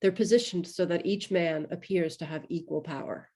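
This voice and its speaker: Low-voiced woman narrating a script. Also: steady tone, moderate pace, a little mellow, slightly rough. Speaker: narrator of a table read. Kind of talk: scripted narration of stage directions.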